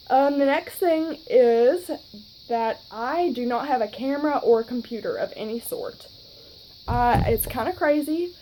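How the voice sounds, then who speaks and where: A woman's voice: high-pitched, measured pace, mellow, slight background noise, poor audio. A woman of twenty with a Southern accent, chatting casually to her phone camera in a rambling way with lots of fillers. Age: 20s. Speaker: vlogger.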